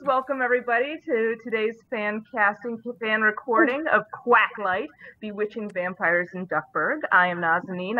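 A woman's voice: fairly high pitch, sharp tone. A woman hosting a casual livestream over an online call. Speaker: stream host. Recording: dull lo-fi online call audio.

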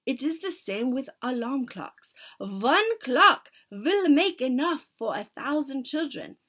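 A woman plays a bossy, menacing storybook witch queen. Her voice is high and swings widely as she barks out commands with scorn and stilted phrasing. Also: big pitch swings, slow pace, husky, exaggerated voice. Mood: scornful, instructing. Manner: commanding, shouted declarations in stilted foreign-sounding grammar.

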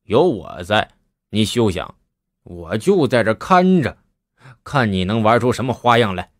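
Man doing low gruff big bully guy, blustery, dry close sound. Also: moderate pace, pushed and bright, no echo. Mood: scornful. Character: burly, brash bully of a man.

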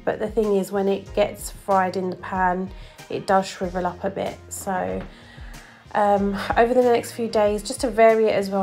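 A woman giving a casual, chatty voice-over for her vlog, with frequent ums. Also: medium pitch. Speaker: vlogger. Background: faint background music.

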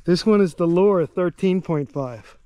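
A man with a slightly high, smooth voice talks in short, matter-of-fact phrases. He is outdoors, speaking into a camera he holds himself. The sound is dry and close. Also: unhurried, warm tone, no room sound. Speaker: kayak rental guide. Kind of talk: casual walkaround video. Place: outdoors on a rural property. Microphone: camera held by the speaker.

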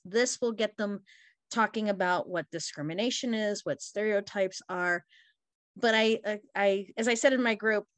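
A woman's voice: mid-range voice, no echo. Woman from Costa Rica, teacher, earnest with many fillers, dry video call sound. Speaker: Spanish language arts teacher. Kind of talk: webinar discussion.

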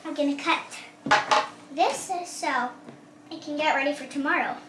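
Young girl, child host of a casual vlog, very high voice, unrushed delivery, playful to camera. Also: measured pace, heavy background noise. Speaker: host of a kids' cooking vlog. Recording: roomy, echoey home-video sound.

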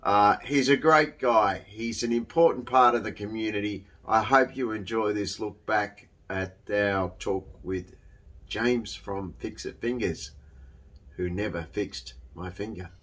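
A man's voice: on the low side, a little slow, fairly bright tone. A man, casually introducing a show to camera as its host. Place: indoor workshop.

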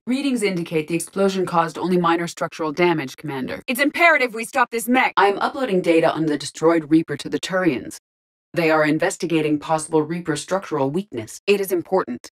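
A woman voicing a calm, logical, curious female artificial intelligence in a video game, with precise, measured, formal delivery. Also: on the low side, natural voice. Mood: urgent, alert. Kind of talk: video game character voice lines.

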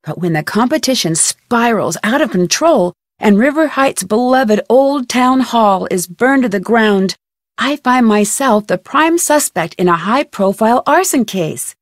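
Trailer narration: young female sleuth, determined and earnest, somewhat high-pitched, close dry recording. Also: expressive, measured pace, no echo. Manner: earnest, dramatic. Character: plucky young female detective introducing her next case. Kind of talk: scripted game trailer narration.

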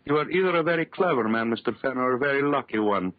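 An actor playing a megalomaniac villain doctor in a 1930s radio horror drama, theatrical, commanding and boastful. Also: male voice, medium pitch, lively intonation, quick pace, dry recording, natural voice. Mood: sinister, commanding. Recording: muffled old 1930s radio recording.

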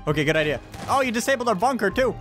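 Lively, higher-pitched man gaming. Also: racing, soft background music. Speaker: gamer, video host. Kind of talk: casual co-op gameplay chat.

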